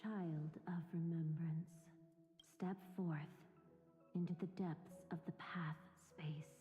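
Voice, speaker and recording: An actress voicing a mysterious disembodied woman in a video game, solemnly beckoning in a breathy, incantatory half-whisper with ghostly reverb. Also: low voice, steady tone, takes it slowly, faint music behind. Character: mysterious disembodied female voice luring a child onward. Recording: dull, heavily reverberant game audio.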